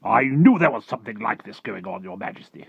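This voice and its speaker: A man voicing a storybook army chief, indignant and trigger-happy, with loud, snappish military bluster. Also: on the low side, lively intonation, brisk, gravelly, husky, no echo, dull audio, pitched above the performer's own voice, exaggerated voice. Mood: pompous reporting.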